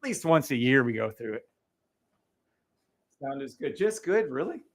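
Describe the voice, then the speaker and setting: A man hosting a trading livestream in casual chat, speaking loudly and bluntly with frequent swearing and laughter into a boom mic. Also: sing-song, moderate pace. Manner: loud, blunt and profane, laughs often.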